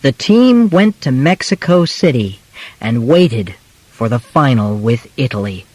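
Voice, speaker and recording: Slow, deliberate male audiobook narrator. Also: medium pitch, very animated, darkish tone, dry recording. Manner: measured, clearly phrased in short segments. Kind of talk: scripted narration of a simplified biography for learners.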